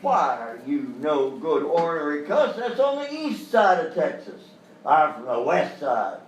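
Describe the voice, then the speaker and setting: A man's voice: fairly high pitch, very animated, unhurried. A man playing a blustering, folksy Old West cowboy with a Western American drawl in a live radio-style comedy sketch. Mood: blustering threat. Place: live performance in a hotel venue. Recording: roomy live stage, distant mic, faint noise.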